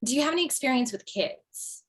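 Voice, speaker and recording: A woman's voice: high-pitched, lively intonation, brisk, slightly rough. A woman playing an overwhelmed, bossy widowed mother with a dry wit in a comedy table read over video call. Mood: decisive, tired.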